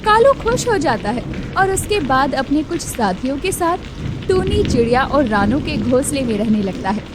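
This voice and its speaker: High, lively woman narrating a cartoon. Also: normal speed, heavy background noise. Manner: expressive, storytelling. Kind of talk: scripted storybook narration.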